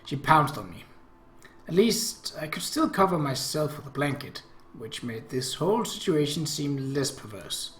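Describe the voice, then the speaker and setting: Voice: mid-range voice, very animated, unhurried, a bit bright, roomy sound. A game host giving casual, wry commentary and reading a visual novel story aloud, with hesitant asides. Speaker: let's play host.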